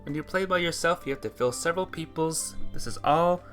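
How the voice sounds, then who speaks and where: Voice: mid-range voice, a little fast, a bit bright. A person reading a sentimental story aloud in an earnest narrating style, stumbling now and then. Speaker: visual novel player reading the text aloud. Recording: music behind the voice.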